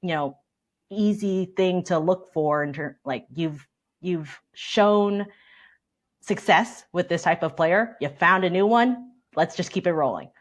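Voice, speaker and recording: A woman's voice: on the low side, measured pace. A woman discussing sports as a panel reporter, in an informal, slangy, conversational style.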